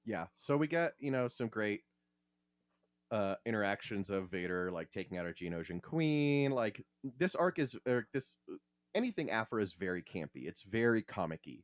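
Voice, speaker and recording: Wry, low-voiced man in casual podcast talk. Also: big pitch swings, normal speed, dry recording, studio quality. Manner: wry, freely swearing. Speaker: podcast co-host.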